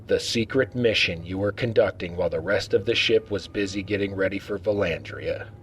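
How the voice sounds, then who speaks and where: A stern starship captain played by a man speaks with a low voice and a dry, wry authority. It is science-fiction audio drama, with a light bed of background noise under the voice. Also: brisk, a bit bright. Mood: probing. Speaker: voice actor in fan audio drama.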